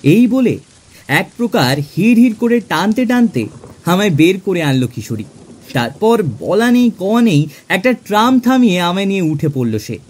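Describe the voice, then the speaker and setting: A man playing a genial middle-class clerk who narrates his own tale in an expressive, theatrical audio drama style. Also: high-pitched, normal speed. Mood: warm storytelling. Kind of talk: dramatised first-person audio story narration. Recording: music under the voice.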